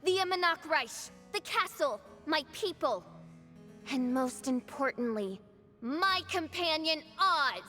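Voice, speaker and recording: A proud young princess from a video game, declaiming her lines with grandiose drama. Her voice is high and forcefully bright, and she takes her time over each phrase. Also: animated, slow, soft background music, heavily acted. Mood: commanding. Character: proud, theatrical young princess facing her mocking dark self. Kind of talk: theatrical video game voice acting.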